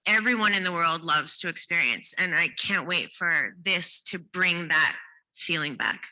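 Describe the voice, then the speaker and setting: A woman's voice: fairly low pitch, sharp tone, low-quality recording. An enthusiastic, earnest woman from Canada, middle-aged, answering questions at a press briefing. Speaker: event ambassador.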